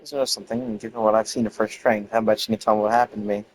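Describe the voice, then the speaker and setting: A man's voice: fairly low pitch, little pitch movement, racing, rough recording. A man giving casual, informal playthrough commentary, thinking aloud as he plays a game. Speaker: gamer playing and reacting.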